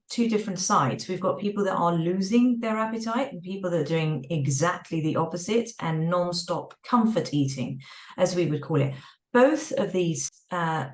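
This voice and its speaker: London woman, low voice, roomy sound. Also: distant mic. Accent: London English. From London, England. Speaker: co-host. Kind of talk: explanatory talk to teens. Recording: roomy sound, a bit off mic.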